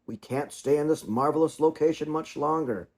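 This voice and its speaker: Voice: medium pitch, normal speed, darkish tone, voice pushed up, close to an everyday voice. A storybook reader voicing a pompous, long-winded father swan who lectures his children. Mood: lecturing.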